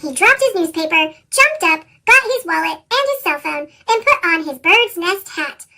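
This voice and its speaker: A woman narrates a children's story in an extremely high, chipmunk-pitched voice. The read-aloud is animated, with wide swings in intonation. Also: normal speed. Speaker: picture book reader.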